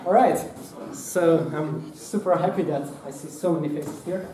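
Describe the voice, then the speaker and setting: A man, giving a hands-on workshop talk at a conference, speaking haltingly with many fillers and restarts. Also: on the high side, crowd noise. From Hungary. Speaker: workshop presenter. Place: conference room. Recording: echoey room, mic at a distance.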